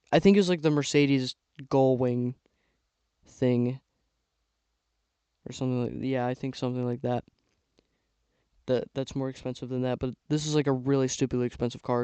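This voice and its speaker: A young man giving casual tier-list commentary on toy car sets, rambling with lots of fillers and a voice he says is going hoarse. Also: mid-range voice, steady tone, moderate pace, long pauses, mellow, no echo. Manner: rambling, filler-heavy, trailing off. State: losing his voice. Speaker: YouTuber ranking toy sets.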